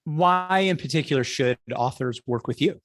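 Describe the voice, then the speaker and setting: A man's voice: on the high side, normal speed, a bit bright, no room sound, studio quality. A man hosting an interview in a casual, conversational style, telling anecdotes and putting questions to guests. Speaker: interview host.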